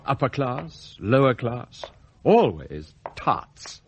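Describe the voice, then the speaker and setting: A butler in a radio play. His speech is formal and precise, with very wide swings of pitch over a cold, resentful core. Faint noise sits behind the voice. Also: sing-song, gravelly, full character voice. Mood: cold, bitter. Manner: formal, precise, measured wording. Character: outwardly loyal butler, coldly bitter and plotting. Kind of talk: radio drama acting with confiding narration.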